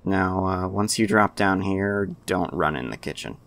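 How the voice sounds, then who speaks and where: A man giving casual, offhand gameplay commentary in a low-key way. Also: unhurried, fairly bright tone. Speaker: gamer, walkthrough commentator.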